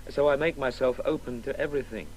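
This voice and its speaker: A man's voice: medium pitch, quick pace, lightly acted. A male actor playing a precocious, morbidly curious boy in a 1980s radio drama, musing pedantically and matter-of-factly. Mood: musing, detached. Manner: matter-of-fact, pedantic musing about words. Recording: faintly noisy old radio broadcast.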